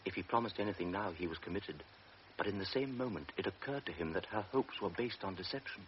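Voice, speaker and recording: A man giving measured, grave narration for a radio drama. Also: sits low, slight background noise. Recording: dull lo-fi radio broadcast with music.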